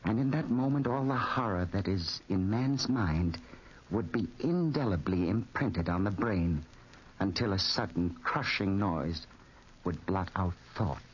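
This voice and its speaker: A man's voice: deep voice, animated, measured pace. A man playing a fat, sinister, falsely friendly villain in 1940s radio style, savoring his words unctuously. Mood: gloating menace. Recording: muffled, noisy 1940s radio recording.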